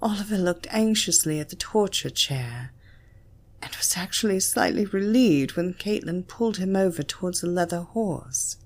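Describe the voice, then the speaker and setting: A British woman narrating an erotic story in a breathy voice that often drops toward a whisper. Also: lowish voice, animated, normal speed. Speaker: audiobook narrator.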